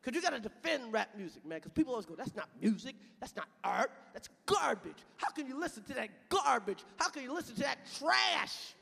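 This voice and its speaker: A man in his thirties performing a loud stand-up comedy set on stage, shouting punchlines and chanting lyrics. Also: very high-pitched, very animated, fairly quick, vocal fry, hardly any pauses. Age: 30s. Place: large venue on stage. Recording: live hall with loud crowd and noise.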